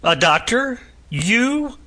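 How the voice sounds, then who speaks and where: A man voicing a blustering English army captain with a heavy, hectoring tone, shouting and sneering. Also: medium pitch, big pitch swings, slow pace, voice pushed up, exaggerated voice. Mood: scornful.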